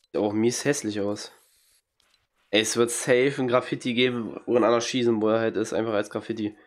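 A man's voice: on the low side, steady tone, normal speed. A young man with a cold, giving casual, blunt, slangy commentary on a video game as a streamer, talking into a headset in a small room at home. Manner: blunt, slangy, mocking reactions. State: has a cold, occasional coughing. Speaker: gaming streamer.

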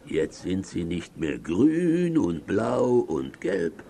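A soft-hearted, fawning sidekick to a cartoon villain in a children's audio play. He wheedles slowly in a creaky voice, with clear noise behind the recording. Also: deep voice, very animated, heavily acted. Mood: coaxing. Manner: wheedling, servile.

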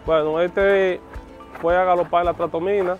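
A man's voice: high voice, steady tone, normal speed, dull audio. A middle-aged man, a horse groom, explaining his work in an interview at a wooden stable. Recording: music laid over location speech.

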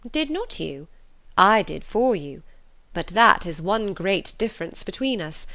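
Woman voicing playful young woman, teasing, witty, lively. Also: on the low side.

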